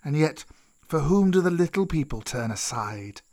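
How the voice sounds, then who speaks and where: A man voicing a wise, grudging old giant python in a children's storybook, with drawn-out, deliberate delivery. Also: a little high, animated, darkish tone, pitched above the performer's own voice. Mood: calm, knowing.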